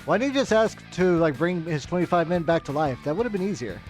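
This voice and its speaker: Excitable man reacting, slightly high voice. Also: quick pace. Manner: excitable, slangy, laughs often. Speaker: game-focused streamer. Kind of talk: casual watchalong reaction commentary. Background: game music.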